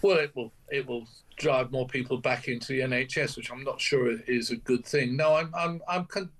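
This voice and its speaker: A man's voice: medium pitch, normal speed, a bit bright. An older British man speaking as a doctor in a broadcast interview, candid and emphatic in a conversational way.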